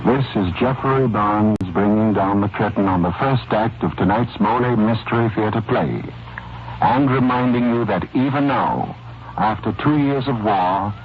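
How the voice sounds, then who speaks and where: A man's voice: on the low side, moderate pace. An American man hosting and narrating a scripted 1940s radio mystery, on a muffled, noisy old recording. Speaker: host and narrator.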